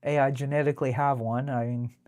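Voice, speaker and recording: Deep-voiced contralto woman, dry close sound. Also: steady tone, fairly quick, darkish tone, no room sound. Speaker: cartoonist hosting a live drawing stream. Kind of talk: casual chat while drawing.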